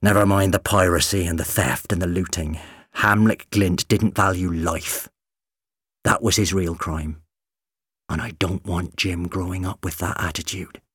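Man playing a sober, low-voiced principled uncle. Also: steady tone, a bit bright, slightly rough, no echo, clean studio sound, heavily acted. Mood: grave. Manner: serious, measured, moralising.

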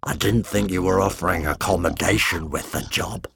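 A man voicing a huge, shaggy shadow monster in a storybook, with a gruff voice and a slow, sarcastic, aggrieved manner. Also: sits low, fairly bright tone, dry recording, clean studio sound, heavily acted.

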